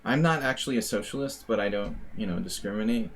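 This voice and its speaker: A man's voice: fairly low pitch, quick pace, fairly bright tone, a little hiss or hum. An American man giving casual, rambling live commentary on his chess games, with wry asides and plenty of fillers. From United States. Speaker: chess streamer.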